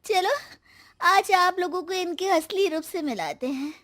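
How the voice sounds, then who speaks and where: Drunk cartoon wife, high voice, slow drawl, fed up, theatrical scolding, slurred. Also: female voice, fairly bright tone. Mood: mocking, drunk. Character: fed-up drunk wife loudly airing grievances against husband. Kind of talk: comic Hindi cartoon dubbing.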